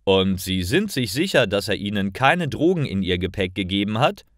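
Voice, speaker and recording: A man voicing a stern, suspicious male border official in a story reading, measured and icy as he interrogates. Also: fairly low pitch, big pitch swings, dry recording, studio quality. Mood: icy, probing.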